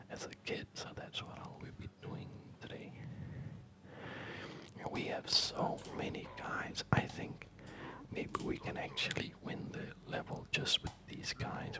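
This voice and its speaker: A man doing relaxed, chatty ASMR game commentary in a soft, breathy whisper. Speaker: host of an ASMR gaming channel. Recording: background game music. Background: loud game music.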